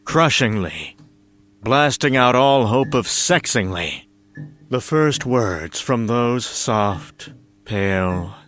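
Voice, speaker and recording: A man narrating a comic story in a dramatic scripted style, with a wry, deliberate storytelling manner. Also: mid-range voice, expressive, a bit bright. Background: music.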